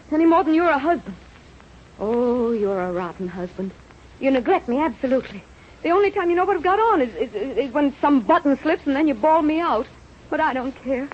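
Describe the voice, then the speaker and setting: An actress playing a devoted, stubborn young wife, impassioned and insistent, in an old radio drama. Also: female voice, high-pitched. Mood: defiant. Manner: impassioned, insistent, stammering with feeling. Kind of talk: 1930s radio drama acting. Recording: muffled, noisy old radio recording.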